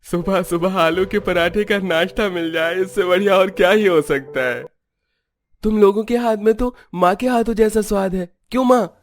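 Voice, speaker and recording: Cheerful young cartoon son, acted by the male narrator, pitched well up, fond and homely. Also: moderate pace. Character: cheerful young married son praising home cooking.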